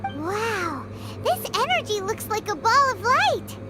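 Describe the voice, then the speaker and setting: Very high, squeaky little fairy companion. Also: female voice, big pitch swings, takes it slowly, exaggerated voice. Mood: amazed. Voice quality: squeaky childlike voice. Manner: speaks of herself in the third person, exclamatory. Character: Paimon, tiny childlike excitable floating fairy companion. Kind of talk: video game voice acting. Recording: music playing behind the voice.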